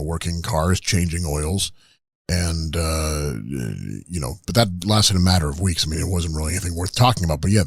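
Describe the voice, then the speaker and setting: A crude, smug old business mogul, as a man puts him on, rambling in a very low, husky voice full of stammered restarts. He sounds close and dry, with no room around him. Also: no room sound. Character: crude arrogant retired wrestling tycoon, older man. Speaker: comedy podcast guest character. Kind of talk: improvised comedy podcast.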